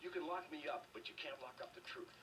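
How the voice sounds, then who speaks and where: A man acting as a defiant rebel in a video game, with a fairly high male voice. He argues against the government in an earnest, insistent, preachy way, on distant and noisy audio. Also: fast talker, lightly acted.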